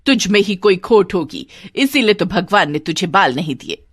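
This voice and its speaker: A woman's voice: lively intonation, moderate pace, slightly rough. A woman voicing a harsh, scolding middle-aged mother-in-law in a cartoon story. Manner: shrill, scolding.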